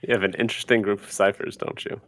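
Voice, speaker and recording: A man's voice: on the low side, steady tone, quick pace. A man playing a tabletop game, making dry, casual banter. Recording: noisy audio with clicking.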